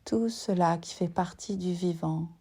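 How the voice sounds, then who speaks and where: A woman leads a guided yoga nidra relaxation as the teacher, soothing and unhurried, leaving long pauses between short phrases. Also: lowish voice, warm tone, husky. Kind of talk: guided meditation.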